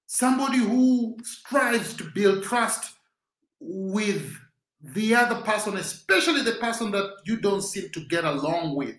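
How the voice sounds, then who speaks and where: A man's voice: high-pitched, a bit bright, roomy sound, low-quality recording. A Kenyan man with a Swahili-speaking background, giving a rousing, preacher-like motivational talk as host.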